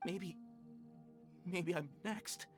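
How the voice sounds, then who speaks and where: A man voicing a frightened mine worker in a game dub, halting and repeating his words nervously. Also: high voice, soft background music. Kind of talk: English video game dub.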